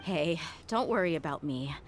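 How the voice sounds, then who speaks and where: A woman playing a tough, proud young desert mercenary in an anime game, speaking earnestly and reflectively in measured lines. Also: deep voice, animated. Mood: resolute, gentle. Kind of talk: anime game dramatic acting. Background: game music.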